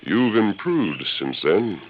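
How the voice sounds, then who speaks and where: A man's voice: fairly low pitch, big pitch swings, slow. An actor in a 1940s radio western playing a stern masked lawman with a firm, authoritative manner. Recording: muffled 1940s radio recording, hiss.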